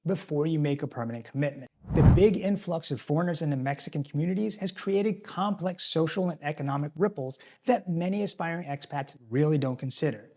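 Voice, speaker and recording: A man's voice: on the high side, brisk, hardly any pauses, mellow. A man hosting an expat channel, giving scripted, direct advice to his viewers about living abroad. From tropical island. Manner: advisory, direct address to viewers. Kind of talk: scripted talking-head explainer.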